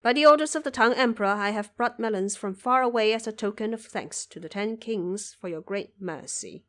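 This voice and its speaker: A woman's voice: mid-range voice, brisk, a bit bright, close to an everyday voice. A woman voicing a humble, dutiful male envoy who speaks formally and respectfully in an audio drama. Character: humble, dutiful dead man serving as imperial envoy.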